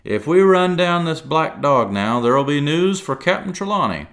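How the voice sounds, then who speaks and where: A man's voice: mid-range voice, big pitch swings, measured pace, fairly bright tone, pitched above the performer's own voice. A man reading aloud and voicing a cunning, one-legged pirate cook who blusters in salty sea talk. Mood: blustering.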